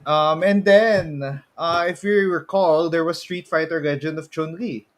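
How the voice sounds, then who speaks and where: A man's voice: fairly high pitch, steady tone, measured pace. A man co-hosting a casual pop culture podcast, often repeating and rephrasing as he goes. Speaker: podcast co-host.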